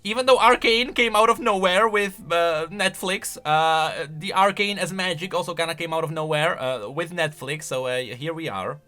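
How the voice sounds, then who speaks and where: A man's voice: on the high side, normal speed, few pauses, bright, forceful tone. A man giving casual, conversational reaction commentary on video game lore, musing aloud as he goes.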